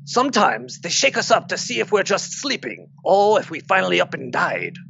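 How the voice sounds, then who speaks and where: A man's voice: sits high, big pitch swings, moderate pace, gravelly, fairly bright tone, lo-fi sound, slight background noise, exaggerated voice. A man playing a blunt, sardonic, wounded British soldier and protective father in an audio drama. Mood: resigned, grave.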